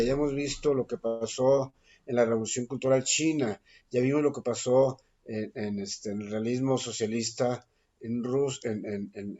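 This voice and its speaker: A man, a guest poet answering interview questions thoughtfully, pausing often with fillers and restarting his sentences. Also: medium pitch, dark tone, husky. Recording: dull lo-fi recording.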